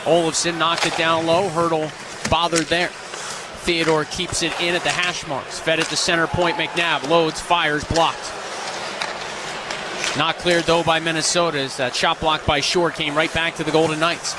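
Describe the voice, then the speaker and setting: High-pitched male hockey play-by-play announcer. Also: little pitch movement, unhurried, background noise. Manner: clipped, vivid running call. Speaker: ice hockey radio play-by-play commentator. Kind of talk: live sports play-by-play commentary.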